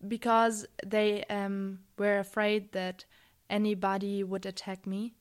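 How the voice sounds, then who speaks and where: Woman from germany, slow and hesitant with lots of ums, smooth clear voice, pretty flat. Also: mid-range voice. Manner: hesitant, many ums, thoughtful. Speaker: guest. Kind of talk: casual conversation.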